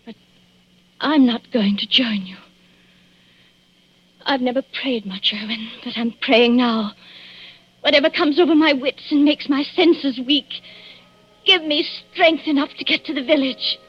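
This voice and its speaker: Anguished, defiant wife, radio horror. Husky, high-voiced, emphatic, on a dull old recording. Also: female voice, fairly even intonation, measured pace, long pauses, no echo. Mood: anguished, desperate. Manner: dramatic, emphatic, repeating herself. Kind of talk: radio horror drama acting.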